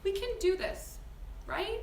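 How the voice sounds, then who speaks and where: A woman at a screenplay table read playing a popular, bossy and sarcastic high school girl. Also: expressive, takes it slowly, gravelly, darkish tone, full character voice. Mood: conciliatory. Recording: echoey room with faint noise.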